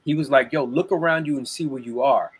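A young man as a guest, chatting casually and acting out the lines he quotes in lively voices. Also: medium pitch, a little fast, slightly rough, poor audio. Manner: animated storyteller, acts out quotes. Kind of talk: casual interview talk. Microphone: video call.

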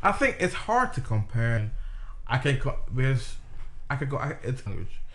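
A man from Ghana talks casually, his voice sitting at an ordinary middle pitch. He rambles a little, circling back with fillers and restarts. The tone is relaxed, like friends talking. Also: normal speed. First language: a Ghanaian language. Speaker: interviewee. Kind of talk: casual conversation.

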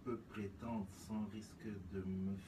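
A man hosting a radio talk show who cuts in to question his columnist in a measured way. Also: fairly low pitch, flat delivery, crowd noise. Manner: measured, interjecting to question the guest. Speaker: radio host. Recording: distant mic with background hiss.

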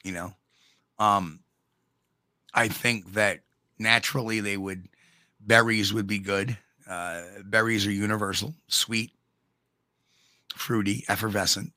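A man with a New York accent co-hosting a casual live chat show, talking in a folksy, blunt way with drawn-out emphasis. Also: fairly low pitch, steady tone, sharp tone, no echo. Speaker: co-host.

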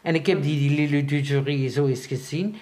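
A Flemish woman artist chats anecdotally in an interview. She speaks haltingly and circles back over her words. Her manner is self-deprecating and informal. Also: sits low, dark tone. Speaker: visual artist being interviewed. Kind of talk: casual anecdotal chat.

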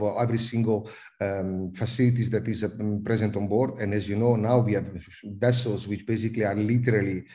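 A middle-aged Italian man speaks in a low voice with a mellow, warm tone, his accent plain in every phrase. He talks as an interview guest, often pausing on "uh" and repeating a word before going on. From Italy. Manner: hesitant, repeats words and fills with uh. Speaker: guest, cruise industry advisor. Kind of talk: podcast interview.

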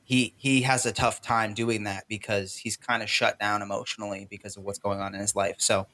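A man co-hosting a podcast, chatting casually in an earnest, halting way with repeated phrases. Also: fairly low pitch, flat delivery, pushed and bright. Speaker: podcast co-host. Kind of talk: casual chat.

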